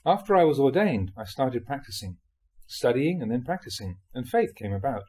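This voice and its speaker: A man reading translated Buddhist talks aloud as a monk, in an expressive storytelling delivery. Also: medium pitch, moderate pace, breathy edge.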